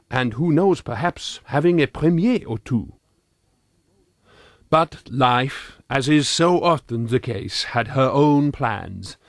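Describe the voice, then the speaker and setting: A man's voice: medium pitch, no echo, studio quality. A man voicing an earnest, pompous thirty-eight-year-old Frenchman with a French accent, reading a comic audiobook diary in formal, quaintly wordy phrases.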